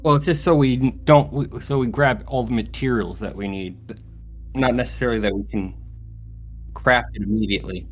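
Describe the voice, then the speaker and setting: A man's voice: medium pitch, fairly quick, a little hiss or hum. A man chatting casually as a player in a tabletop game.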